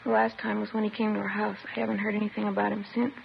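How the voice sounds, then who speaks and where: An actress playing a shaken, bitter teenage girl in a 1950s radio drama, halting and subdued. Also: medium pitch, completely flat delivery, vocal fry. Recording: muffled, slightly noisy old radio recording.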